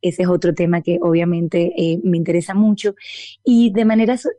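Dark-voiced woman, dry sound. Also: on the low side, fairly even intonation, moderate pace, dry recording. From Dominican Republic. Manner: thoughtful, many restarts and fillers. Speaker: interview guest, politician. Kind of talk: interview answers.

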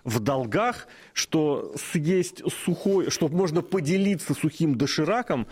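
A male talk show host discussing current affairs casually, thinking out loud as he talks. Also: fairly high pitch, lively intonation, measured pace, husky. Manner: thinks aloud with fillers and restarts.

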